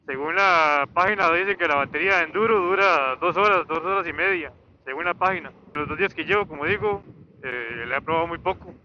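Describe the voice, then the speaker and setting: A man's voice: a little high, brisk. A man chatting casually and unscripted as he rides a motorcycle, rambling, hesitant and repeating himself, recorded through a helmet intercom microphone. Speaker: motorcycle vlogger. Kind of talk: casual unscripted chat while riding. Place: outdoors, riding a motorcycle on a highway. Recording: muffled helmet intercom mic, steady vehicle noise. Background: faint motor and road noise.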